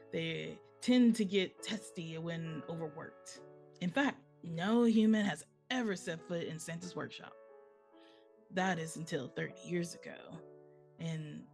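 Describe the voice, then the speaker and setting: A chatty, proud elf storyteller played by a woman spins tales straight to the listener in a folksy, conversational way. The voice sits a little low, with music playing along in a live script read. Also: creaky, darkish tone.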